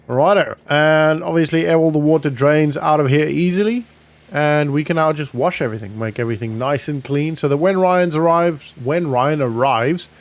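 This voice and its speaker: Clear-voiced man narrating gameplay casually. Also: medium pitch, no echo. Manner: informal, thinking aloud as he goes. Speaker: gaming vlog host. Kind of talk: casual roleplay gameplay commentary.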